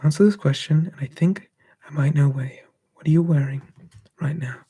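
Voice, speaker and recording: An ASMR streamer, softly whispering and musing while answering live chat on a close mic. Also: mid-range voice, fairly quick, husky. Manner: soft, drifting between whisper and quiet voice, musing.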